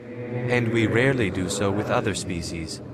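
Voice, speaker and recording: A man's voice: fairly low pitch, normal speed, very noisy, natural voice. A man playing a polite, formal alien merchant of luxury goods in a video game, speaking in a stately way. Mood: courteous, formal. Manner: stately, third-person formal, courteous. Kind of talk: video game character dialogue.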